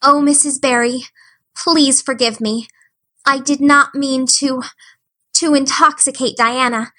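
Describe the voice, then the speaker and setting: Casting: woman as a theatrical young orphan girl, bright and high, flowery and pleading. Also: fairly even intonation, unhurried. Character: dramatic eager orphan girl, about eleven.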